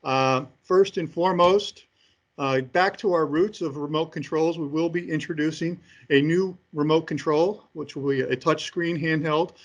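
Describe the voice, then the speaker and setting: A man giving interview answers as a company executive presenting products, speaking haltingly with frequent hesitations between phrases. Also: a little high, steady tone.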